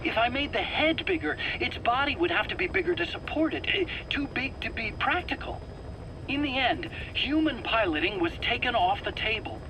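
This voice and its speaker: A man's voice: extremely high pitch, very animated, moderate pace. A man playing an anxious, defensive weapons scientist, hesitant and stammering, in a video game drama. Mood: explaining eagerly. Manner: hesitant, stammering, pleading and self-justifying. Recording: clear background noise, interrogation tape.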